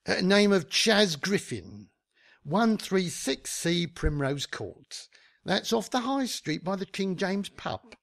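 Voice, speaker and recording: A grandiose small-time fixer in a radio comedy, played by a man. He speaks slowly and haltingly, with a gravelly creak and big theatrical swings of pitch. He sounds shifty and rather pompous. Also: sing-song, a bit bright, full character voice. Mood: bumbling, pleased.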